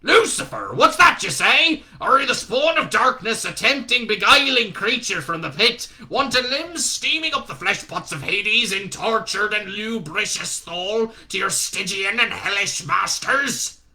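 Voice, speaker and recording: Man doing a gruff old Witchfinder sergeant, shouting. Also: very animated, slow, exaggerated voice. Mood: bellowing. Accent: wandering mix of British regional accents. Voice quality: rumbling, testy, barking.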